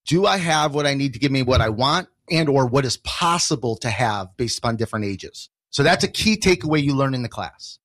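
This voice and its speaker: A man's voice: medium pitch, fairly bright tone, no echo. A man with an American accent explaining things on a radio show as an educator, emphatic, with repeated points and rhetorical questions. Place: radio studio.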